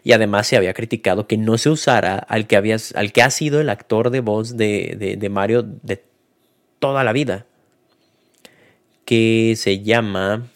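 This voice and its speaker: A man's voice: on the low side, normal speed. A man giving a casual spoken film review, hesitant, with many fillers and restarts.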